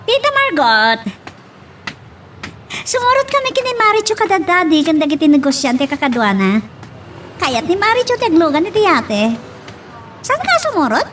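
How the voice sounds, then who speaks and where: A woman's voice: very high-pitched, big pitch swings, normal speed, long pauses, a bit bright, a little hiss or hum, heavily acted. A woman playing a playful, eager, teasing young daughter in an Ilocano radio drama.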